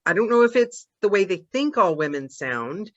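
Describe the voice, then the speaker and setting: A woman co-hosting a casual panel chat, animated and emphatic, laughing easily. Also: mid-range voice, moderate pace, muffled recording. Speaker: co-host.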